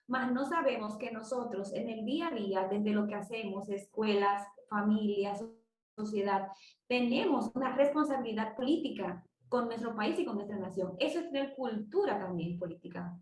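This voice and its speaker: A woman from the Dominican Republic, a psychology student, giving a measured class presentation with frequent restarts. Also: a little high. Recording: echoey room, distant mic.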